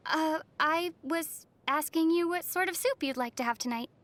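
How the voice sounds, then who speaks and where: A woman voicing a young traveler heroine in a video game, gentle and wry with a soft, teasing manner. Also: high-pitched, normal speed, no room sound. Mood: curious, calm.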